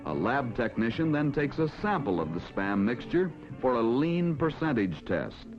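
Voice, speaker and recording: An American man reading scripted narration for a mid-century educational film in a formal, measured announcer style. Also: mid-range voice, very animated. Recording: dull vintage film soundtrack with music. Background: background music.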